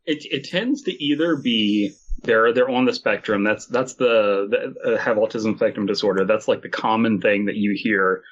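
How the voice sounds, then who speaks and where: A man's voice: on the low side, normal speed. Joking man on a lo-fi podcast. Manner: joking, rambling with many fillers. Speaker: podcast co-host. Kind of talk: casual comedic chat.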